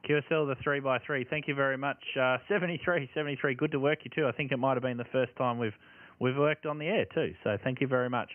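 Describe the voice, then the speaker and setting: A man's voice: medium pitch, quick pace, no echo. Tinny-sounding Australian man on ham radio. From Tasmania, Australia. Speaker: amateur radio operator. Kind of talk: ham radio calling, phonetic call signs and signal reports. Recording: tinny band-limited audio with radio static.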